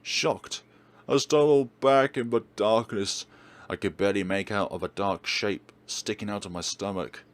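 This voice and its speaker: A man's voice: on the low side, a little slow. A man hosting a let's play, narrating and commenting on a visual novel in a theatrical, emphatic way. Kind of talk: dramatic game narration and commentary.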